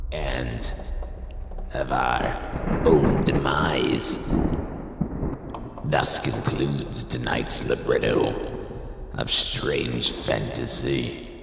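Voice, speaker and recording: A man giving hushed, ominous scripted narration as the show's host. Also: extremely low pitch, gravelly, roomy sound, big-room sound.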